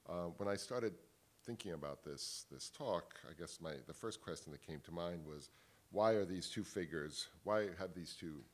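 A man, giving a measured academic panel talk at a table microphone in a wood-panelled hall, often restarting words. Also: low-pitched. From Italy. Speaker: panel speaker. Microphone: table microphone on stand.

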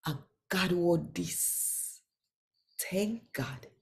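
A Jamaican woman in her sixties giving an expressive, deliberate scripture reading in Patois as host. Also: lowish voice, creaky. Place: small quiet room indoors.

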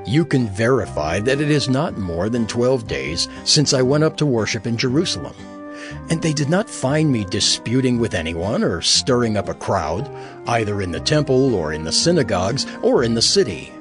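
An actor playing an earnest apostle on trial, giving an emphatic, oratorical defense in an audio Bible drama. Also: male voice, medium pitch, sing-song, moderate pace, over music, natural voice. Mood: earnest, confident.

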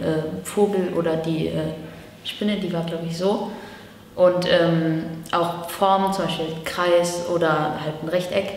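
A woman's voice: fairly low pitch, slight background noise. An eighteen-year-old woman from Germany answering interview questions in a chatty way, in long sentences full of fillers. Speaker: camp participant. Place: indoor room against a bare wall. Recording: distant, echoey room with reverb.

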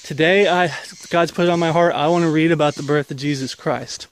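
A man's voice: fairly high pitch, steady tone, a little fast, a little hiss or hum. A man in his forties, a ministry leader, gives a rambling, earnest walk-and-talk update while walking outdoors on a wooded path, filmed on a handheld camera at arm's length. He is getting over an illness. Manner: casual, rambling, earnest preaching tone.

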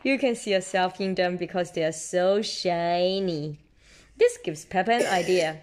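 Woman, parent storyteller, expressive and encouraging, slow delivery for young listeners. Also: fairly low pitch. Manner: expressive, encouraging, simple child-directed speech. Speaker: parent reading aloud to a child. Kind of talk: read-aloud children's storybook narration. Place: indoors at home, seated with book.

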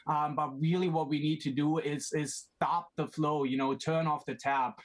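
A man's voice: on the high side, normal speed. German-accented man on a video call. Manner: energetic, gestures a lot, frequent "you know". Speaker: company founder, guest. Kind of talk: remote TV interview. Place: small indoor room at home.

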